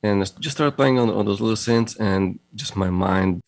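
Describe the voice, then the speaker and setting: Middle-aged guy, russian-israeli accent, kinda gravelly low voice, dry close mic, lots of uhs. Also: no room sound. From Moldova. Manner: halting, many fillers and restarts, laughs often. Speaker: guest, audio company founder. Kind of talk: casual interview conversation.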